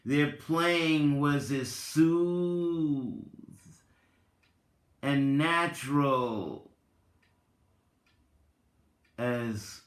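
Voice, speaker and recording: Very slow-talking man in an echoey room. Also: on the high side. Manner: deliberate, halting delivery in short phrases. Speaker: reader, content creator. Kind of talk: dramatic reading with spoken commentary. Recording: roomy, echoing voice recording.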